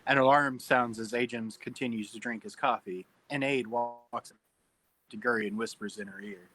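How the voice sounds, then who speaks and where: A man's voice: medium pitch, steady tone, moderate pace, a bit bright, rough recording. A man narrating stage directions from a script at a table read.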